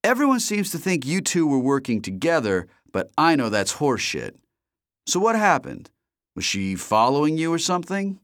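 A man voicing an excitable young man, the narrator's loud, gushing best friend, shouting over the top with excitement. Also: sits high, takes it slowly, no room sound, clean studio sound, pitched above the performer's own voice, heavily acted. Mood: serious. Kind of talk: audiobook character voice.

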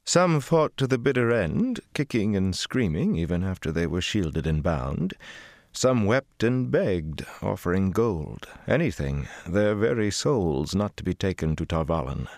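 A man voicing an older woman sorceress who speaks slowly, in a measured and unshakably composed way. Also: low-pitched, sing-song, a little mellow, no echo, studio quality, heavily acted. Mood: calm.

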